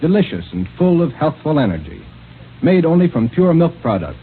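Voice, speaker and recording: A male announcer enthusiastically delivering a scripted 1930s radio pitch to children. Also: big pitch swings, brisk. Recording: scratchy, tinny old radio recording.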